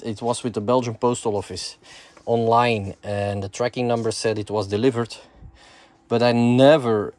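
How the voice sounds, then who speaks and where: Low-voiced man rambling while working. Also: darkish tone, slight background noise. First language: Dutch. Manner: rambling, many fillers and restarts, muttering to himself. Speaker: online brick store owner. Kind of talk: casual chat while working. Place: cluttered attic storeroom, indoors. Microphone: body-worn camera.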